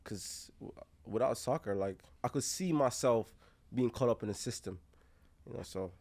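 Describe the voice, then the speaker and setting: A man's voice: medium pitch, moderate pace, a little mellow. A man with a Jamaican accent, co-hosting a podcast in a laid-back, swaggering way. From Kingston, Jamaica. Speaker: podcast co-host. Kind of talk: casual podcast chat.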